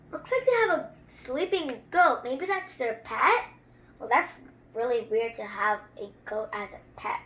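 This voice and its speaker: A female story-time host reading aloud and adding casual, chatty commentary in a halting way. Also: high-pitched, bright, forceful tone. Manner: halting word-by-word reading, informal chatty asides. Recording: roomy echo.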